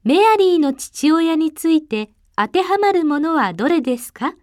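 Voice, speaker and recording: A woman narrating a listening test, reading the exam instructions and questions in an even, formal way. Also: high voice, fast talker, dry recording, studio quality.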